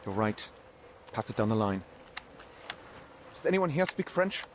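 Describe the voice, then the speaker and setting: Wry, world-weary captain, faint noise. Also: male voice, on the low side, sing-song, unhurried. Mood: cynical, commanding.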